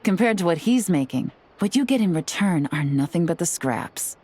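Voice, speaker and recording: Cool, low-voiced shrewd female investigator acted by a woman. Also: expressive, normal speed, darkish tone, slightly rough, faint music behind. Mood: coolly pressuring. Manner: composed, sly, persuasive. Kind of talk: video game voice-over.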